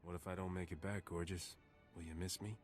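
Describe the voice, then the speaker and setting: An actor playing a cocky, flirtatious mercenary smuggler captain in a video game, with a wry, swaggering delivery. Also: male voice, sits low, little pitch movement, fairly quick, faint music behind, natural voice.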